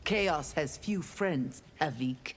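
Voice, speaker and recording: An actress playing a stern, disdainful warrior in a video game, cool in delivery. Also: female voice, low voice, expressive, takes it slowly, big-room sound, faint music behind. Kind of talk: video game fighter dialogue.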